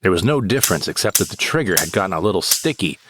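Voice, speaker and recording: A man's voice: low-pitched, very animated, quick pace, noisy background. A man narrating a comic audio drama in the first person, in a wry, theatrical storytelling manner. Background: music.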